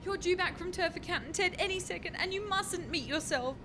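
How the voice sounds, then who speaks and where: Woman acting a high-pitched, flustered lab scientist. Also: fairly quick, background noise. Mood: brisk, exasperated. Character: harried, exasperated young woman lab scientist, quick to bluff. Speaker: radio play actor. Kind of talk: comic radio play acting.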